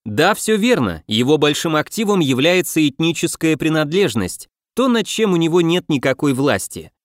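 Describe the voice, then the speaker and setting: A man's voice: a little high, sing-song, moderate pace, no echo, clean studio sound. A man reading a scripted self-help book as a narrator, addressing the listener directly. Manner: rhetorical questions to the listener. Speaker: audiobook narrator.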